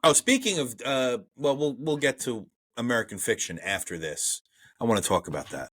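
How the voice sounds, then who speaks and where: A man's voice: medium pitch, animated, normal speed, a bit bright. A man with a Brooklyn accent co-hosting a podcast, chatting casually and profanely about an old movie and reading trivia aloud. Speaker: podcast co-host. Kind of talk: casual movie review chat.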